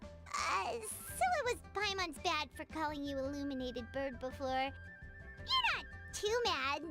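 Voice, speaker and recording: A woman voicing a tiny, chirpy, blunt fairy companion who excitedly talks about herself in the third person. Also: high voice, slow, over loud music, full character voice. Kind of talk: video game voice acting.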